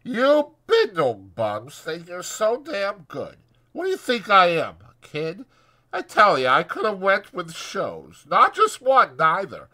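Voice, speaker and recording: Man putting on a brassy high-pitched scornful young woman. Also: huge pitch swings, takes it slowly, bright, forceful tone, full character voice. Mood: indignant, bitter. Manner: contemptuous, brassy, slangy rural American.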